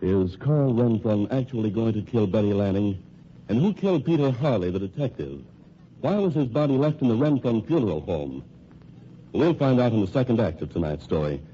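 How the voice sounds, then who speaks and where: A man's voice: fairly low pitch, animated, a little fast, mellow. A man announcing an old-time radio drama, reading scripted narration in a dramatic, suspenseful way. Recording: muffled, noisy old radio recording.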